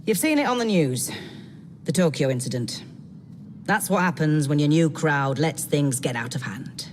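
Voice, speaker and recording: An actor playing a world-weary London police inspector with a British accent, gruff, wry and guarded, in a video game. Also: male voice, on the high side, animated, normal speed, long reverb tail, a little hiss or hum, lightly acted. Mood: stern, wry. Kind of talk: scripted video game cutscene acting.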